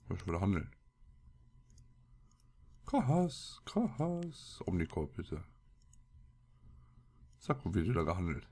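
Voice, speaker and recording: A man's voice: low-pitched, big pitch swings, slow pace, pauses often, a little mellow, husky. A man with a cold and a scratchy throat, giving casual, colloquial gameplay commentary as he mutters to himself. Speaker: let's play host.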